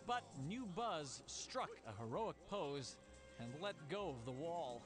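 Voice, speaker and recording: High-pitched animated male storybook narrator. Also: slow. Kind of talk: dramatic scripted children's narration. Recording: music under the voice. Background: loud music.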